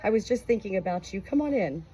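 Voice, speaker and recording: A woman's voice: mid-range voice, animated, quick pace, heavy background noise, natural voice. A woman voicing an open, tender young mother who is dying, in a heartfelt storybook reading. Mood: pleased.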